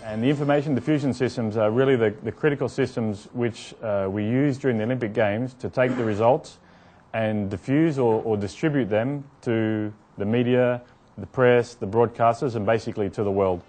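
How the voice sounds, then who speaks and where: A man with an Australian accent, an IT project manager explaining technical work in an interview, halting with frequent restarts. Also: medium pitch, darkish tone, a little hiss or hum. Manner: halting, with many fillers and restarts.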